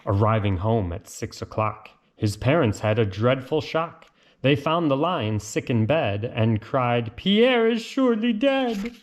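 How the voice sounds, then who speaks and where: A man reading a children's picture book aloud in an expressive, sing-song, theatrical way. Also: mid-range voice, unhurried. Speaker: read-aloud storyteller.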